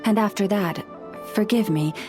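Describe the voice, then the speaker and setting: A gentle, learned young priestess of a fantasy game, voiced by an actress. Her voice sits at an average height for a woman, and she speaks formally and courteously in measured phrases. Music plays clearly behind her. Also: medium pitch, natural voice. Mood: gracious, courteous. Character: gentle, scholarly young woman, a nature-loving moon priestess. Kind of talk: video game voice acting. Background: background music.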